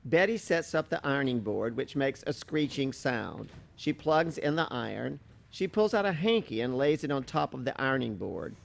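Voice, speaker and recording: A man's voice: medium pitch, sing-song, normal speed, vocal fry. A man reading stage directions as scripted narration for a play.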